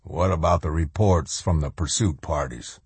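A male narrator puts on a cold, menacing army colonel for a grim war story. The voice is very low and husky, heavy and forceful, handing down curt orders in a dry space. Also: moderate pace, no echo, full character voice. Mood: curt command.

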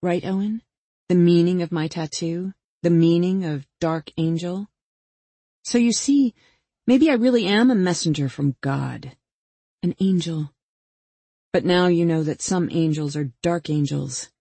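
Woman playing a coldly taunting female killer, low-toned. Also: unhurried, dark tone, slightly rough, no echo. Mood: taunting, mock-patient. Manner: taunting, mock-patient, pointed questioning. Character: vengeful young female killer, coldly taunting, sadistically patient. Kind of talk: dramatic audiobook character dialogue.

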